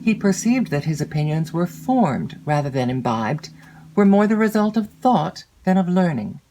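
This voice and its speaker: A woman reading classic literary fiction as an audiobook narrator, in a measured, formal way with expressive phrasing. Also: on the low side, faint music behind, low-quality recording. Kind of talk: scripted literary narration of a classic gothic novel.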